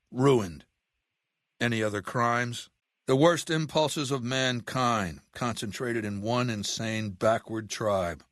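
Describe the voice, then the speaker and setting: A man's voice: medium pitch, a bit bright, no echo, close to an everyday voice. Guy playing a middle aged warlord dictator in a game, commanding and scornful, lecturing, totally dry sound no room. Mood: contemptuous, impatient. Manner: commanding, articulate, contemptuous, lecturing. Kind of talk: video game voice acting, scripted monologue.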